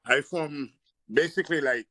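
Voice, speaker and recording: A man's voice: on the high side, moderate pace, bright, forceful tone, no echo, studio quality. A man from Haiti, a restaurant and bar owner speaking at a public meeting on a call, hesitant and searching for words. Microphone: phone or video call.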